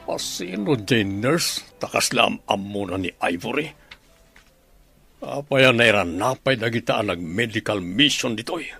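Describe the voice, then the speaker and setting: A man's voice: lowish voice, animated, a little slow, creaky, bright, forceful tone, soft background music, exaggerated voice. An actor playing a scheming, greedy villain in an Ilocano radio drama, brooding over his plans. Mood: scheming, frustrated. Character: scheming, greedy male child seller.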